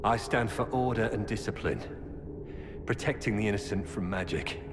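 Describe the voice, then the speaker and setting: Attore: ex templare tetro in un videogioco, tono grave e misurato, voce bassa. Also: male voice, normal speed, natural voice. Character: grim former Templar man turned Qunari informant. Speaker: game character. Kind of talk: video game voice acting. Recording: game audio with long reverb and ambient noise.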